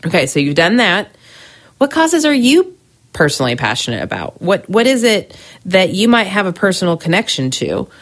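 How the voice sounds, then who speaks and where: A woman's voice: medium pitch, sing-song, normal speed. A woman hosting her own podcast, giving chatty business advice with frequent ums while getting over a cold. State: recovering from a cold, scratchy throat. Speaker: podcast host. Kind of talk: casual solo podcast advice.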